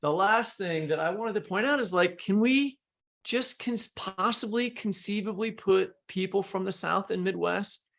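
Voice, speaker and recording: A man's voice: high voice, a little slow, gravelly, a bit bright. A man co-hosting a podcast, speaking casually about politics in an emphatic, blunt way. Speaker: podcast co-host.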